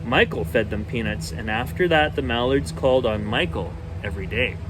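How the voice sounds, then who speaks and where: A man's voice: medium pitch, normal speed. Man reading bedtime story over background noise. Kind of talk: children's storybook read aloud. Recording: clear steady background noise.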